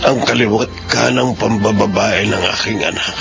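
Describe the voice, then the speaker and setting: A man playing a remorseful, sorrowful old grandfather in a radio drama, with a Filipino accent and a gravelly, heavy-hearted delivery. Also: on the low side, fairly even intonation, normal speed. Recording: muffled radio drama, music underneath.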